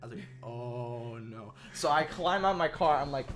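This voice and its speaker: A young man co-hosting a podcast, telling a story in casual, animated chat with swearing and restarts. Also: medium pitch, normal speed. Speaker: podcast co-host.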